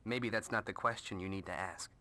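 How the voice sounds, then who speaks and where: A man's voice: fairly low pitch, brisk, sharp tone. An actor playing a joking, self-deprecating young male sidekick in a video game, with an American accent. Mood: wry concern. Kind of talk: video game cutscene dialogue.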